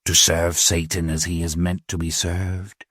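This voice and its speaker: A man voicing a cold male cult leader who intones slowly in archaic speech. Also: low-pitched, flat delivery, fairly bright tone, breathy edge, no echo, clean studio sound, pitched below the performer's own voice, exaggerated voice. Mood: menacing. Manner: slow, intoning, old-fashioned thee and thou.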